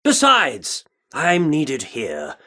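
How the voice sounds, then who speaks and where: An actor playing a pompous, excitable British theatre director in a video game, speaking in grandiose, florid Shakespearean style. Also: male voice, a little high, drawn-out words, full character voice. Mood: excitable, flattering.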